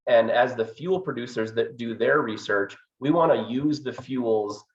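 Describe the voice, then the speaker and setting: A man giving a research talk as an engineering professor, hoarse from a cold, speaking hesitantly with many fillers. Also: medium pitch, unhurried, lo-fi sound. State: hoarse, getting over a cold.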